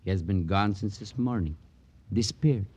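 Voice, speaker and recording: Husky, sorrowful, courtly merchant. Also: male voice, lowish voice, moderate pace, dark tone, lo-fi sound. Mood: mournful, formal. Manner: stilted, courteous, pompous wording. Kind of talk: old-time radio crime drama acting.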